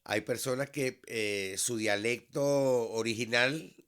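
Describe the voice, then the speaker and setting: A Venezuelan man in his fifties, a teacher, speaking in a measured, earnest monologue that has frequent restarts. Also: medium pitch, sharp tone. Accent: Venezuelan Spanish. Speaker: vlog host, high school teacher. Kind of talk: reflective solo monologue.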